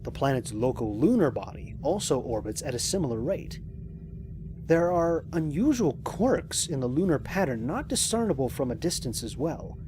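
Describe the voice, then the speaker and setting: A man playing a lone spaceship commander and scientist in an audio drama, recording mission logs in a measured, reflective, precise way. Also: on the high side, sing-song, over music. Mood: puzzled, clinical. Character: lone male spaceship commander, thoughtful scientist, quietly amazed.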